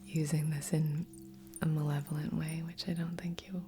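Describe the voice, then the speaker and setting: A woman speaking in a soft, breathy whisper as a gentle, soothing host of an ASMR guided meditation. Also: deep voice, flat delivery, normal speed. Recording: soft music under voice. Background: background music.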